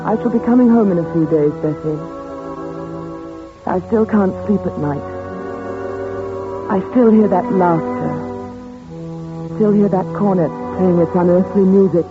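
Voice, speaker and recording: A terrified governess, played by a woman in a vintage radio play, her voice breathless and pleading. It sits on a dull old recording with loud organ music behind her. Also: fairly low pitch, unhurried, long pauses, lightly acted. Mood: haunted, narrating. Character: frightened female governess, later a haunted narrator. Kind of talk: 1940s radio acting.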